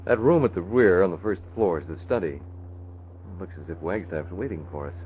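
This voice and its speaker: A man plays a determined, self-assured radio hero. His voice is very deep and he speaks in brisk, commanding phrases. The recording is a dull, lo-fi old broadcast. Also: a little hiss or hum, lightly acted. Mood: confident, alert. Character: confident, determined masked-vigilante hero and man about town. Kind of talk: old-time radio drama acting.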